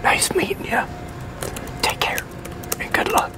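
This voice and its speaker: A man in his sixties from the United States whispering a hesitant ASMR roleplay as a leasing agent. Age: 60s. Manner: hesitant, halting. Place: outdoor covered picnic pavilion with metal tables.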